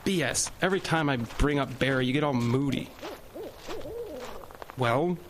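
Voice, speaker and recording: A man's voice: mid-range voice, unhurried, a little hiss or hum, lightly acted. A man playing a sarcastic older brother. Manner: sarcastic, teasing. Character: cocky older teenage brother Jeffrey.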